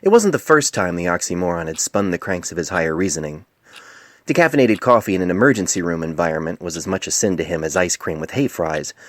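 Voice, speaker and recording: Very deep-voiced male story narrator. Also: no echo, clean studio sound. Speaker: author narrating own story. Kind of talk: scripted fan fiction narration. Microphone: cell phone microphone.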